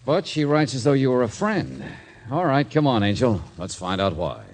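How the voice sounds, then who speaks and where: An actor playing an easygoing, wry private detective in an old-time radio drama, casual in delivery. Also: male voice, mid-range voice, animated, moderate pace, natural voice. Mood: wry, curious. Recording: noisy vintage radio recording.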